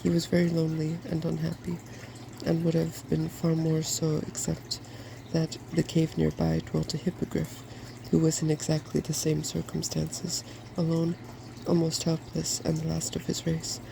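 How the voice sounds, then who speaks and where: A softspoken ASMR host reading a fairy tale from a storybook in a gentle, quiet way. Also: mid-range voice, completely flat delivery, moderate pace. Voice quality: soft-spoken. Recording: clearly noisy background.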